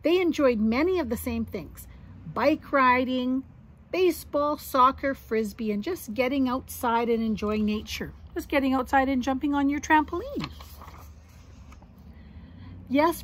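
A middle-aged woman with a husky voice reading a children's picture book aloud expressively, adding little asides for young listeners. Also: high-pitched, lively intonation, normal speed. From Canada. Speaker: grandmother reading to grandchildren. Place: outdoors on a deck or yard among trees.

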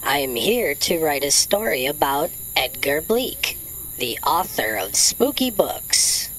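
Slow, low-voiced earnest detective reporter played by a woman. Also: sing-song, a bit bright, a little hiss or hum, full character voice, poor audio. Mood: polite. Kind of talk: children's radio drama acting.

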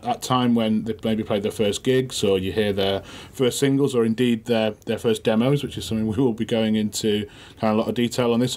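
A man's voice: lowish voice, darkish tone. A man co-hosting a music podcast, chatting in a self-deprecating way with many fillers. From Manchester, England. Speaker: podcast co-host, former music journalist. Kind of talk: casual podcast chat.

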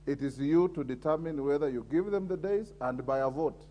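A man's voice: fairly high pitch, a little fast, lo-fi sound, a little hiss or hum. Firm Kenyan man chairing parliament. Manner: firm, calling order repeatedly. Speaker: parliamentary speaker. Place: large parliament chamber. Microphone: desk microphone.

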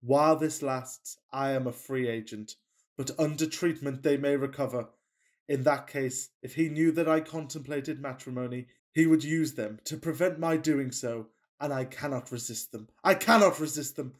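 A man playing a fussy, passionate Victorian gentleman in a farcical radio play, with pompous, declamatory delivery. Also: medium pitch, normal speed, natural voice. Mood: anxious. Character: melodramatic, fussy Victorian gentleman suitor, self-pitying and passionate.

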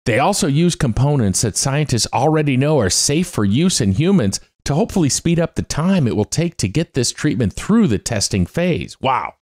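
Man, middle-aged, animated pitch, upbeat news read, close studio mic. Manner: upbeat, exclaiming. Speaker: news show host. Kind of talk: scripted science news read. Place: small home studio. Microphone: close studio mic on arm.

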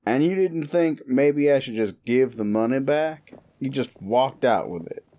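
A man playing a gruff, world-weary cowboy bounty hunter in a Western audio roleplay, with a folksy, threatening yet wry manner. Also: medium pitch, warm tone, heavily acted. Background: guitar music.

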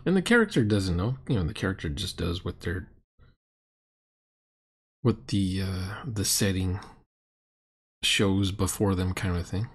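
A man's voice: deep voice, animated, a little slow, long pauses, husky. A middle-aged man giving casual, sardonic and critical commentary on a roleplaying game.

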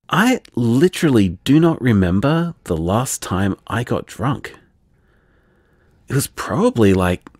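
A man's voice: mid-range voice, breathy edge, no echo, clean studio sound, exaggerated voice. An actor playing a rambling, self-deprecating young man. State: tipsy. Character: shy affectionate young man leaving voicemails. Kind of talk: audio drama voicemail monologue.

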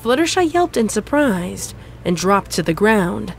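A woman's voice: medium pitch, sing-song, measured pace, creaky, slight background noise. A woman reading a comic fan fiction story as scripted narration.

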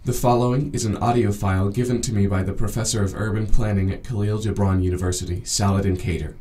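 A man acting as a sober documentary narrator in a radio play. His voice is low and mellow, presenting each recovered tape formally. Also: fairly even intonation, fast, slight background noise, lightly acted. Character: sober male interviewer presenting recovered tapes. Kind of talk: scripted narration.

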